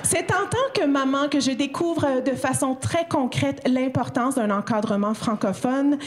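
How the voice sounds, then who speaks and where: Upbeat, joking woman emcee, slightly high voice. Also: measured pace. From Ottawa, Canada. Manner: chatty, jokey, laughs between lines. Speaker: master of ceremonies. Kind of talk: ceremony hosting speech. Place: city hall ceremony hall.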